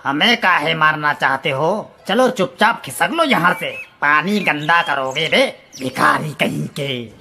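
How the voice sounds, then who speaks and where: An actor playing a gruff, irritable village man in a horror story, scolding in a brash rustic way. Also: mid-range voice, animated, measured pace, few pauses, bright, forceful tone, a little hiss or hum, exaggerated voice. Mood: scornful.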